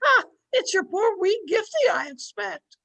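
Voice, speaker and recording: Crusty elderly Scottish maid, extremely high pitch, tart tongue, radio-play style. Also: female voice, very animated, measured pace, gravelly, bright, forceful tone, exaggerated voice. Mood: tart, impudent. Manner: tart, impudent. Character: crusty, disagreeable old Scottish housemaid. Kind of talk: old-time radio drama acting. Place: at home, recorded over video call.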